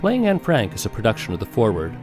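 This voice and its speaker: A man's voice: on the low side, huge pitch swings, fast talker, music in the background. A man hosting and narrating a documentary podcast from a script.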